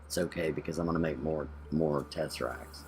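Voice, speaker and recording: A man's voice: extremely low pitch, steady tone, fairly quick, darkish tone, faint music behind. A man co-hosting a gameplay video, thinking aloud as he plays and correcting himself mid-sentence. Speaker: gameplay channel co-host. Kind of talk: casual gameplay commentary.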